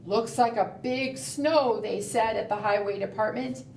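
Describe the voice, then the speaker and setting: A woman's voice: a little high, unhurried, gravelly, a little mellow. A woman leading a preschool storytime, reading aloud and doing fingerplay in a sing-song manner, often putting questions to young children. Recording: roomy, distant mic, faint background noise.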